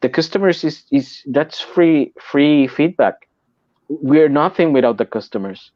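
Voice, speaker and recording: A man's voice: a little high, measured pace, dry recording, highs cut off. A man from Puerto Rico with a Puerto Rican accent, chatting casually on a podcast, often repeating and restarting words as he talks. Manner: repeats and restarts words, animated when making a point.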